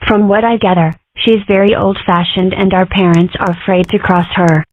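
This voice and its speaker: A woman's voice: mid-range voice, steady tone, normal speed, lightly acted. Casting: a woman playing a matter-of-fact young cartoon critter girl, narrow lo-fi recording. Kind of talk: comic strip dub. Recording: muffled phone-like band.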